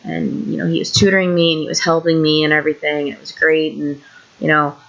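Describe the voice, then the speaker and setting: A woman's voice: low-pitched, flat delivery, fairly quick, vocal fry, highs cut off. A woman of about thirty from Illinois, talking in a rambling, frustrated and self-deprecating way about her troubles for her vlog, sitting on a couch at home. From Illinois, USA. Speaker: vlogger. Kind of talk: candid personal vlog rant. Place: indoors on a couch.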